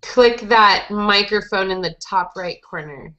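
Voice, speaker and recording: A young woman teaches conversational English in an encouraging manner. Her voice is bright and sits at a middling pitch. It comes through a headset on a video call, with a muffled, lo-fi edge. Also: unhurried. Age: young adult. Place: small indoor room, group video call. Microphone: headset boom mic.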